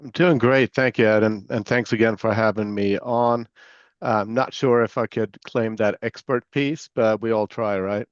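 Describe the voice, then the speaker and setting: A low-voiced middle-aged man talks with a Swedish accent softened by years in the American South. He answers candidly and modestly, filling pauses with ums. His voice comes through a dry, slightly muffled remote call recording. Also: dry recording. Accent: Swedish with an American Southern tinge. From Sweden. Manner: relaxed, modest, many fillers. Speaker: company security chief.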